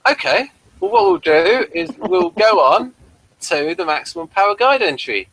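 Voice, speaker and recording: Mild, halting man hosting a review. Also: sits high, wildly swooping pitch, gravelly, a bit bright, rough recording. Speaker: host of a review show. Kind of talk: casual review chat.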